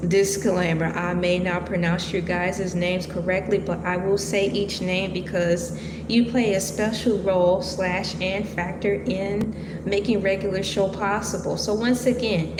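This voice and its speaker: Slow, rambling woman in an echoey room. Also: mid-range voice. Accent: Southern US, Georgia-tinged. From Michigan, USA. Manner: rambling, playful, stops to comment on names. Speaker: vlogger reading about a cartoon. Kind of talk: casual reading and chatty asides. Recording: echoey room with long reverb and noise.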